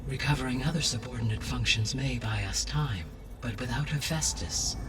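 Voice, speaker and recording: A man voicing a calm ancient artificial intelligence in a video game cutscene, speaking in a measured, precise, clinical way. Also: medium pitch, background noise, lightly acted. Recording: game cutscene with music, distant.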